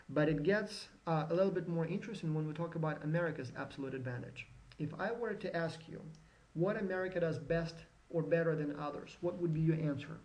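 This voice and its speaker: A man gives a conversational recorded lecture, often pausing with fillers and restarting his words. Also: fairly high pitch, a little mellow, poor audio. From Ukraine. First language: Ukrainian.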